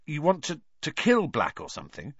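A man voicing a blunt, excitable teenage boy, a worried loyal friend in a novel, speaking sharply. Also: fairly high pitch, moderate pace, slightly rough, no room sound, muffled recording, voice pushed up, heavily acted. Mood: tense, sharp. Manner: sharp, exclamatory.

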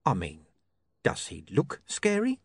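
A man's voice: mid-range voice, wildly swooping pitch, takes it slowly, slightly rough, no echo, clean studio sound, pitched above the performer's own voice, heavily acted. Man playing a wry, coaxing crime boss. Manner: wry, patient persuasion. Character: smooth-talking male criminal boss, coaxing and menacing.

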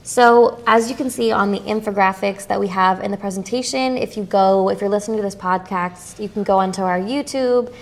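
A woman's voice: mid-range voice, fairly quick, hardly any pauses. Woman reading out bill summaries steadily. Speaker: co-host presenting bills. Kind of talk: reading prepared notes.

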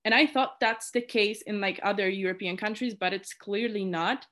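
A woman's voice: medium pitch, normal speed, a bit bright. A young woman from Poland, a native Polish speaker, chatting casually as a committee chair in an interview and filling her talk with "like" and restarts. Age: young adult. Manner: chatty, many "like" fillers and restarts.

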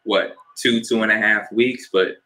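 Young jersey guy cohosting a podcast, lowish voice, chill and easygoing. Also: unhurried, low-quality recording. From New Jersey. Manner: relaxed, hosting with shout-outs and questions. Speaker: podcast co-host. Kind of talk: casual podcast chat.

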